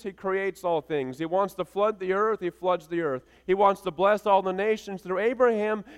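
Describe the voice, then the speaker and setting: A man's voice: sits high, clean tone. A man in his thirties preaching a sermon as a pastor, with emphatic, rhythmic repeated phrases. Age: 30s. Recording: reverberant hall sound.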